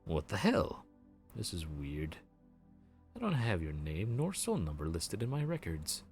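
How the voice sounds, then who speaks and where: A man's voice: low voice, huge pitch swings, a little slow, soft background music, heavily acted. A man voicing a weary male grim reaper who runs the underworld queue, a sarcastic and exasperated bureaucrat. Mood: puzzled. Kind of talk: audio roleplay.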